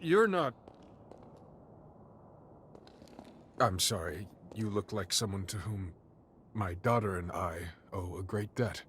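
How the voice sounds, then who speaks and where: A man's voice: deep voice, lively intonation, measured pace, fairly bright tone. An actor playing a careworn, courteous father in a dramatic video game, speaking earnestly and a little formally. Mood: astonished. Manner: earnest, polite, slightly formal. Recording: faint background noise, ambience. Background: faint ambient noise.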